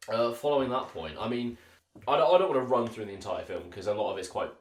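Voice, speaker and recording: Guy hosting a jokey podcast chat, lively up and down voice, bit sweary, roomy echo. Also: medium pitch, quick pace. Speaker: podcast host. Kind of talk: casual chat, film discussion. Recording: echoey room.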